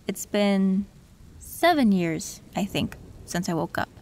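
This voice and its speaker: A woman playing a soft-spoken, wistful tech girl. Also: mid-range voice, expressive, takes it slowly. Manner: soft, soothing, reflective with small laughs. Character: gentle young woman tech fixer, wistful. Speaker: voice actor. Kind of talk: audio roleplay.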